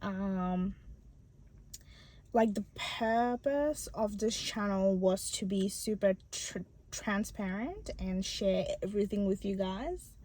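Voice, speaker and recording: Young woman from bangladesh, stuttering, unhurried, lots of ums, vlog talk. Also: medium pitch, expressive, darkish tone. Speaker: channel host. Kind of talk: personal vlog talk to camera.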